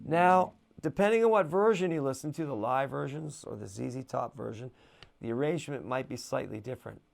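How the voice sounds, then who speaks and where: Gravelly-voiced man teaching guitar. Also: fairly quick. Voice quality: low voice. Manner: relaxed and chatty, with many fillers. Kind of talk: casual guitar lesson.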